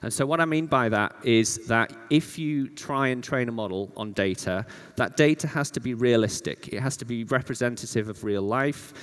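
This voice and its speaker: A man's voice: medium pitch, moderate pace, hardly any pauses, a bit bright, clear, resonant tone. Man, conference presenter, informal and explanatory, emphatic repeats, long hall reverb. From Yorkshire, northern England. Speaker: conference speaker, data scientist.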